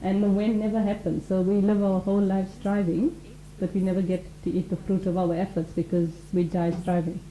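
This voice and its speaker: Mellow, earnest woman teaching mindfulness on radio. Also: medium pitch, level tone, a little fast, hardly any pauses, echoey room, a little hiss or hum, poor audio. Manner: earnest, explains with examples and acted-out quotes. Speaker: mindfulness teacher, show regular. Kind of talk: conversational radio talk.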